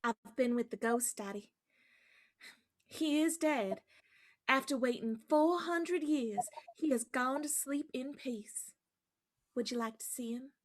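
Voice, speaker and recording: Young girl heroine for radio drama, acted by a woman: high voice, sweet, brave, innocent. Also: takes it slowly, pauses often. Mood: gentle, serious. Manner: earnest, innocent. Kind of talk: radio play acting.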